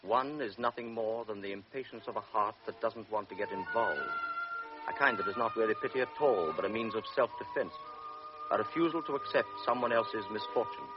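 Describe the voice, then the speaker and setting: A man narrating a literary radio drama in a deliberate, solemn manner. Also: on the low side, close to an everyday voice. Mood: measured. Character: measured storyteller of a pre-war tale. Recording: dull vintage radio recording with music.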